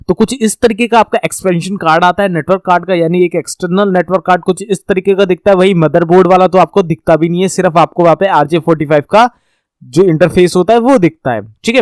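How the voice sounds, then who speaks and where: A man with a high voice gives a casual classroom-style explanation, talking straight to his viewers. He drops English terms into his Hindi sentences. The sound is close and dry, with no room around it. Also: darkish tone, no room sound. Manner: conversational teaching, addresses viewers directly, mixes English terms into Hindi. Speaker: networking course instructor. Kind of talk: explaining slides in a recorded lesson.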